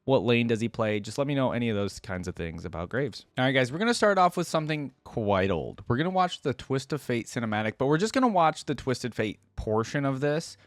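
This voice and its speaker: A man's voice: mid-range voice, sing-song, a little fast, few pauses, no room sound, studio quality. A man hosting a reaction video, talking casually to viewers, musing aloud and reading game text.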